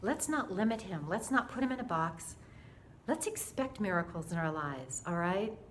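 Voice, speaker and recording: A woman's voice: medium pitch, lively intonation, normal speed. Earnest, dramatic woman storyteller, noisy background. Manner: earnest, dramatic, acts out dialogue. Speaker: storyteller sharing a personal faith testimony. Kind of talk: animated personal storytelling to camera.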